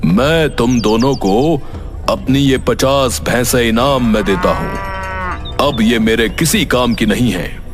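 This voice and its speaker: A man's voice: medium pitch, slow pace, music in the background, lightly acted. A man playing a burly, dim-witted bullying village wrestler in a folk tale, speaking bluntly and demandingly. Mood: pleased. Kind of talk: dramatized folk tale character acting.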